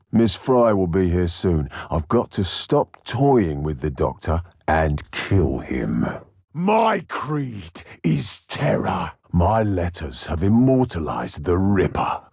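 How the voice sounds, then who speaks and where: A man playing a menacing, sadistic serial killer villain in a video game, theatrical and taunting, with a raspy, gravelly voice. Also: medium pitch, dry recording, clean studio sound, exaggerated voice. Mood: grandiose, menacing. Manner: taunting, theatrical, drawn-out delivery.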